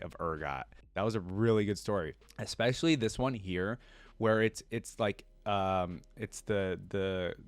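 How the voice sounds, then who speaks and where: Low-voiced man, close and dry. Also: no room sound. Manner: informal slangy fan talk. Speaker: reaction video host. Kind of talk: casual reaction commentary with story read-aloud.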